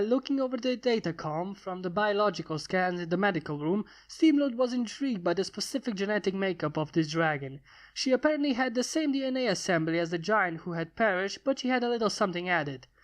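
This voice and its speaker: A narrator reading a scripted story aloud, telling it in prose and putting on voices for the characters. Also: medium pitch. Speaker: fan fiction narrator.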